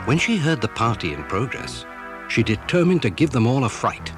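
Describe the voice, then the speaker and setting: A man's voice: mid-range voice, very animated, normal speed. A man narrating a children's story as dramatic scripted storytelling. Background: music.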